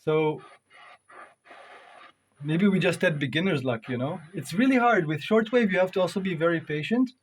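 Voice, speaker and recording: A man's voice: fairly high pitch, lively intonation, moderate pace, pauses often, slight background noise. A man giving a casual hands-on gear review, thinking aloud in an informal way with emphatic stresses. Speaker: reviewer of modular synth gear.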